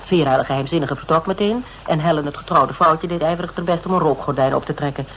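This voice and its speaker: An actor playing a level-headed detective in a Dutch radio drama, questioning methodically in clipped phrases. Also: male voice, on the high side, fast, a bit bright, lo-fi sound. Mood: probing, firm. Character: probing, level-headed detective piecing a case together. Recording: noisy old radio drama recording.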